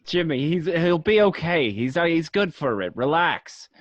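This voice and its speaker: A man acts out an anxious, streetwise young mobster for a radio drama. He pleads and reasons with exasperation, his fairly high voice swinging up and down. The sound is a dull home recording. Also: very animated, moderate pace. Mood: placating. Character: young male gangster, anxious streetwise peacemaker. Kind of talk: amateur radio drama acting.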